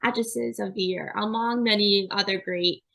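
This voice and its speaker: A woman's voice: medium pitch, fairly even intonation, moderate pace, gravelly, fairly bright tone. A young woman hosting a podcast interview, chatty and filling her talk with "like". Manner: chatty, says "like" constantly. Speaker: podcast host. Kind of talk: casual interview chat.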